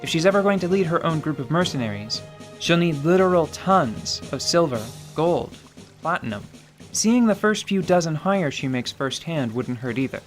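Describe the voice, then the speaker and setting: Higher-voiced male narrator over music. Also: animated, normal speed. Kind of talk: scripted radio-drama narration.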